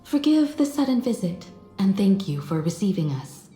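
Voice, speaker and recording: An actress playing a poised, diplomatic space traveler in a video game, speaking politely and deliberately with earnest self-assurance. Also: female voice, medium pitch, big pitch swings, dark tone, echoey room, soft background music, lightly acted. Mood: polite, direct. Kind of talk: scripted video game character dialogue.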